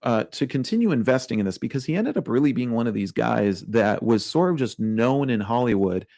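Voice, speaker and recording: A man's voice: mid-range voice, expressive, a little fast, a little mellow, dry recording, clean studio sound. A man co-hosting a casual podcast, telling a story in a chatty, wry way, full of fillers. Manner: chatty, lots of like and you know, wry. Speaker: podcast co-host.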